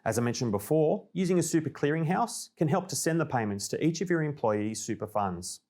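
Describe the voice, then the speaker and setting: A man with an Australian accent presenting a scripted informational update in a clear, orderly, explanatory way. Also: fairly high pitch, lively intonation, brisk, darkish tone. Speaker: presenter of a company newsreel.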